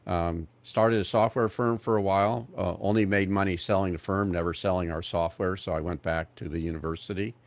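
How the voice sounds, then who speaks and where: An American man in his sixties introducing himself in measured talk on a video call. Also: low voice, steady tone, no echo. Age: 60s. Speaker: panelist, education technology specialist.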